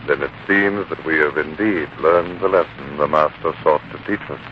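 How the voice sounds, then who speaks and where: A man's voice: extremely low pitch, moderate pace. An actor playing a proud biblical man newly humbled, earnest and declamatory in 1940s radio style. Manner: theatrical, declamatory. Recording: tinny, noisy 1940s radio broadcast.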